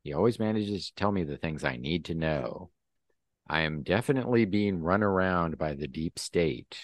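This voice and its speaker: A man's voice: low voice, expressive, normal speed, dry recording, studio quality. A man voicing a world-weary investigative reporter in a wry inner monologue. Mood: brooding.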